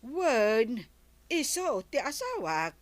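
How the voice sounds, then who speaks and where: A woman's voice: a little high, sing-song, takes it slowly, no echo. A woman playing a dutiful, patient wife and niece in an audio drama, speaking placatingly with an Ilocano accent. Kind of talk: regional audio drama acting.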